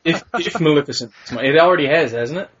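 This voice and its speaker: A man's voice: a little high, fast, a crowd in the background. A man co-hosting a podcast, throwing in crude, mocking jokes during casual banter. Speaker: podcast co-host. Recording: muffled lo-fi recording with clear background hiss.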